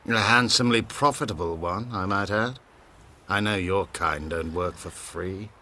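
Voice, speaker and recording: An actor playing a pompous, self-righteous priest of a fire cult in a video game, preaching in a haughty, grandiose way. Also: male voice, sits low, moderate pace, pushed and bright, slight background noise. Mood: condescending. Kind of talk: video game character acting.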